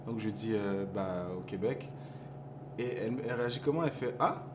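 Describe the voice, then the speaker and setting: A man's voice: on the low side, animated, brisk, background noise. A man from Montreal, talking in a casual interview and searching for words as he reflects. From Montreal, Quebec. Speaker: hip-hop artist guest. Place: café.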